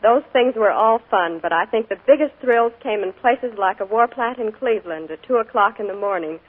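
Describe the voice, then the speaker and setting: A woman's voice: medium pitch, expressive, fairly quick, husky. A young woman, a guest on a radio show, speaking in a cheerful, modest and earnest way. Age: young adult. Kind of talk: scripted radio interview. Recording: tinny 1940s radio broadcast.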